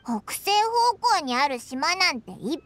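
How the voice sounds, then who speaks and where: A woman's voice: sing-song, moderate pace, background noise. A woman voicing a tiny, childlike fairy companion in a Japanese anime game, brash and exclamatory with a boyish way of speaking. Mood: doubtful. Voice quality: squeaky, childlike. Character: tiny chirpy childlike floating fairy companion, boastful, impatient. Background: game music.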